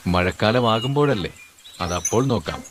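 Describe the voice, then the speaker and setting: A man voicing a carefree, lazy male grasshopper who talks in an easygoing, coaxing way in an animated storybook. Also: on the low side, normal speed, noisy background, natural voice. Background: background music.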